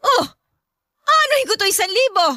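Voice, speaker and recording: A woman's voice: very high-pitched, lively intonation, normal speed, sharp tone, no room sound, full character voice. An actress playing a harsh, belittling Filipino mother in a radio drama, scolding and demanding. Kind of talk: Tagalog radio drama acting.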